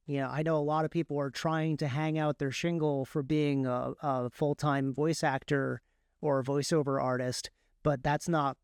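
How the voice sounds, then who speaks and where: Male interview guest, smooth tone, very dry recording, conversational delivery that often restarts mid-thought. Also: on the high side, dry recording. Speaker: guest, author and audiobook narrator. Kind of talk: casual explanatory interview chat.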